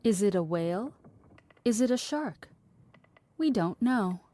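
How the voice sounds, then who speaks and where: A woman playing a skeptical, needling philosophical character in an audio drama, measured and condescending in delivery. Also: medium pitch, expressive, warm tone, close to an everyday voice. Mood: dry, condescending. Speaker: actor.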